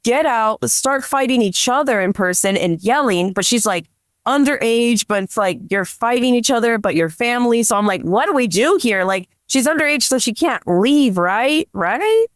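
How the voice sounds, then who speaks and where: Female podcast guest, pitch a little high. Informal, chatty storyteller who acts out bits of dialogue, recorded close and dry. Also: lively intonation, moderate pace, no room sound. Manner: chatty, informal, heavy use of "like", quotes dialogue. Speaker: podcast guest, police dispatcher. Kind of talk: casual anecdotal storytelling.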